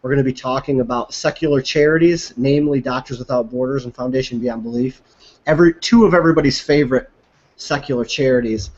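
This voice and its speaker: A man's voice: mid-range voice, fast talker. A man hosting a podcast in a casual, conversational presenting style, with dull lo-fi call audio. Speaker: podcast host. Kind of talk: casual show introduction.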